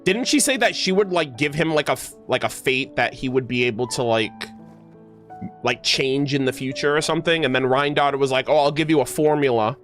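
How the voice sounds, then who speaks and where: Female game streamer: casual, excitable reactions, slangy talk, faint game music underneath. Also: extremely low pitch, brisk. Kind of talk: casual gameplay reaction commentary.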